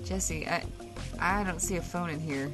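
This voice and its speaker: An actress playing a blunt, teasing, bossy guest co-host who swears freely in a comedic audio drama. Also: female voice, fairly low pitch, unhurried, a bit bright, soft background music. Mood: teasing curiosity.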